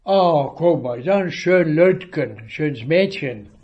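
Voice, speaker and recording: Elderly man in his eighties, slow and halting. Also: on the high side, animated, muffled recording. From northwest Germany. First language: Low German. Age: 80s. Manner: slow, halting storytelling with restarts. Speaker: retired veterinarian. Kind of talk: reminiscing about childhood.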